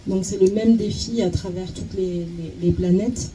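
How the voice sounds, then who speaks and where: A woman working as a consecutive interpreter at a talk, halting and searching for words as she translates. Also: medium pitch, fairly even intonation, warm tone, a little hiss or hum, low-quality recording.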